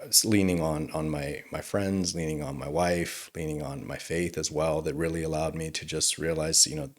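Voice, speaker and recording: A young man with a low voice talks as a guest in a relaxed interview. He speaks earnestly and thoughtfully and breaks into easy laughter. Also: moderate pace. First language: English. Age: young adult. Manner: reflective, earnest, laughs easily. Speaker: attorney guest. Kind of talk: casual interview conversation.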